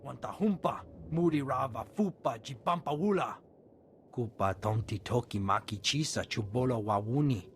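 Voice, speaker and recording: A male actor plays an alien informant in a video game. He speaks earnestly at a medium male pitch, with faint background ambience. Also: moderate pace, a little hiss or hum, close to an everyday voice. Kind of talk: game alien acting in invented tongue.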